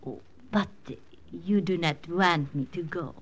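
A woman's voice: fairly low pitch, takes it slowly. An actress in a 1940s radio drama playing a flirtatious French woman with a French accent, teasing and lilting. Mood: coaxing. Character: coy French woman, teasing spy helper.

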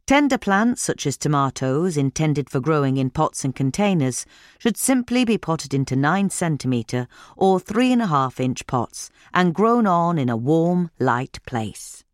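A woman's voice: low-pitched, no echo, clean studio sound. A British woman reading a scripted magazine article as a narrator.

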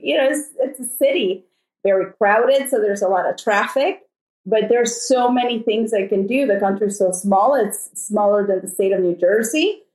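A woman's voice: on the high side, a little mellow. A middle-aged woman from El Salvador, a podcast guest telling stories in a chatty, conversational way. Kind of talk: casual conversation. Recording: echoey room.

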